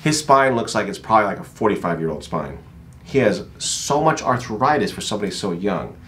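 Middle aged chiro guy, really low voice, jokes around, bit of office noise behind him. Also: expressive, fairly quick, fairly bright tone. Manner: joking, informal, salty language. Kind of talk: casual patient consultation and commentary. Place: small office treatment room. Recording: background noise, room ambience.

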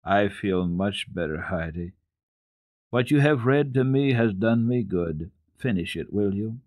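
A man voicing a frail, kindly, pious old grandmother in a storybook, with a gentle, reassuring manner. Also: on the low side, expressive, a little slow, dry recording, studio quality. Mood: contented.

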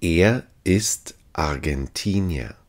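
A man's voice: low voice, expressive, very slow. A man teaching language phrases, saying each one three times carefully and evenly. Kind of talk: slow repeated phrase drill.

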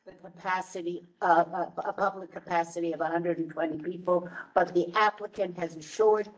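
A woman's voice: lowish voice, steady tone, normal speed. A woman giving a committee report at a community board meeting. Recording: distant mic in meeting room.

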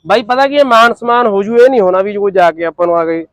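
A man's voice: high-pitched, lively intonation, quick pace, a bit bright. A man with a Punjabi accent selling used tractors, talking casually and persuasively in colloquial speech. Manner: persuasive, colloquial, uses rhyming echo words. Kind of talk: casual sales pitch in conversation.

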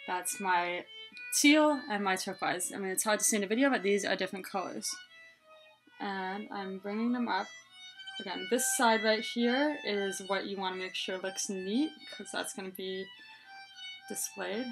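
A woman's voice: medium pitch, moderate pace, music in the background, rough recording. A young woman talking casually and chattily through a hands-on tutorial, explaining step by step as its host. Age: young adult. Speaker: head scarf tutorial host. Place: small room indoors, plain wall.

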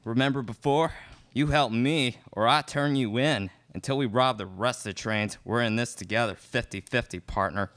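A man's voice: mid-range voice, normal speed, a bit bright, lightly acted. A young man playing a cocky, menacing outlaw leader in a live student radio play. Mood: sly, menacing. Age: young adult. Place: classroom studio, indoors. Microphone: stand microphone. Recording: noisy live room recording with clicks.

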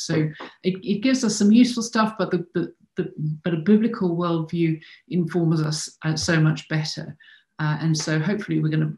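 Low-voiced woman on a video call. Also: darkish tone. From South Africa. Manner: hesitant, many fillers and repeated words. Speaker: conference speaker. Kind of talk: online conference talk. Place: home room with shelves. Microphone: video call webcam. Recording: echoey room sound.

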